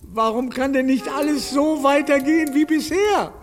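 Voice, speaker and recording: High-voiced, fretful old father. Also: normal speed. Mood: fretful protest. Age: older. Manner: plaintive, fussing, protesting. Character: fretful, doting elderly father of a grown daughter. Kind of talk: radio play acting. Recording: echoing hall with music behind.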